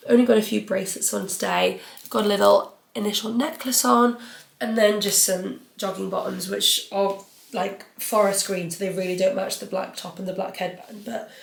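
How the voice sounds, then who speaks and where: A woman's voice: medium pitch, gravelly, echoey room. A young woman hosting a podcast solo, chatty and rambling, sounding stuffy and sniffly from a cold. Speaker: podcast host. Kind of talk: casual solo podcast chat.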